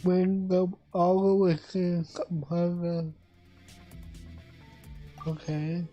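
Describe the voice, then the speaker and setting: A woman streaming a game with a lowish, mellow voice. She talks extremely slowly and haltingly, in a casual way, trailing off between short phrases. The audio is slightly dull. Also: steady tone, faint music behind. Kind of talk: casual livestream chat.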